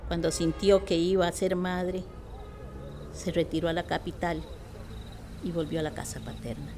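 Low-voiced Costa Rican woman narrating a legend. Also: steady tone, moderate pace. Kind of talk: scripted legend narration, radio play. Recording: clear background noise, nature ambience.

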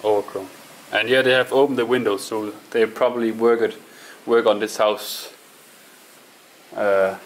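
A man with a low voice mutters his way through an abandoned farmhouse, pausing and thinking out loud. He speaks slowly and haltingly, in imperfect English. Faint hiss sits under the camera audio. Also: low-quality recording. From Denmark. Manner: musing, halting, talks to himself while exploring. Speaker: urban explorer. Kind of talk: casual walkthrough narration. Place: abandoned house and barn, indoors and outdoors.

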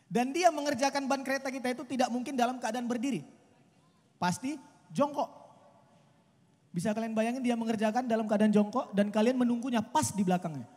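Young guy from doing stand-up, lively and chatty, handheld mic, voice ringing out with reverb. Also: very high voice, brisk. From Medan, Indonesia. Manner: chatty, animated storytelling with acted quotes. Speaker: stand-up comedian. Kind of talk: stand-up comedy set. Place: outdoor stage at a night event.

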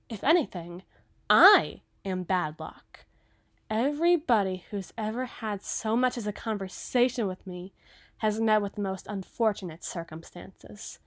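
A woman playing a cruel, possessive evil queen in an ASMR roleplay, haughty and theatrical, with deliberate menace. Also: medium pitch, no echo, clean studio sound, natural voice. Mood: coolly flirtatious.

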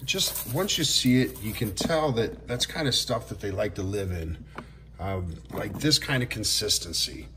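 A man's voice: on the low side, expressive, measured pace, fairly bright tone, roomy sound, slight background noise. A man in his forties, a pest control business owner, giving plainspoken, friendly how-to tips to camera in a home kitchen. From Florida. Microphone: camera or phone at arm's length.

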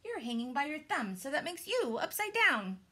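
A loud storybook bat who is sure of being right, acted by a woman. The delivery is blunt and insistent, swinging widely in pitch. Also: big pitch swings, normal speed, exaggerated voice. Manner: insistent, matter-of-fact. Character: loud, blunt, know-it-all adult bat.

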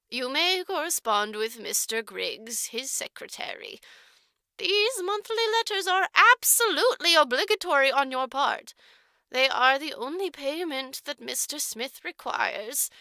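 A woman's voice: sits high, gravelly, bright, forceful tone, no echo, pitched above the performer's own voice, heavily acted. A woman voicing a stern, pompous orphanage matron who lectures in formal, emphatic oratory.

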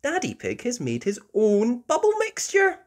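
Brief: female storybook reader and host, unhurried, expressive, hugely varied intonation for young children. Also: a little high. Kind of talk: expressive children's read-aloud narration.

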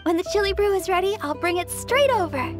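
A sweet young deaconess with an extremely high voice. She is earnest, polite and gentle in an anime game style, with loud music behind her. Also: animated, brisk. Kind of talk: anime-style video game character acting.

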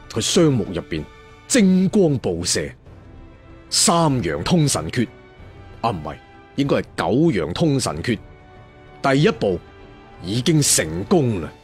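A male Cantonese storyteller narrates a fantasy serial in a very lively, swinging delivery. He is theatrical, slipping in chatty asides and leaning on his endings. Faint to clear music plays behind him. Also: mid-range voice. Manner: theatrical, conversational asides, drawn-out emphatic endings.

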